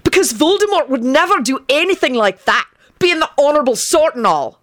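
A woman's voice: on the high side, animated, measured pace, no echo, clean studio sound, pitched above the performer's own voice. A woman voicing an anxious, gloomy young man in an audiobook story, exasperated and sardonic.

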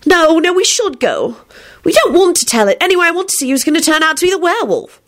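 A woman's voice: very high voice, big pitch swings, fast talker, voice pushed up. A woman voicing an anxious, stubborn girl with a worried, insistent manner in a dramatized storybook reading. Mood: wavering. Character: anxious, stubborn girl who dithers yet acts defiant.

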